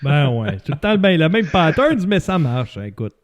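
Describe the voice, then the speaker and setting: A man with a Québécois accent hosting a casual comedy show, telling stories in an animated way with frequent laughter. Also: a little high, moderate pace, background noise. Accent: Québécois French. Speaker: host. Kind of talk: casual comedy podcast chat.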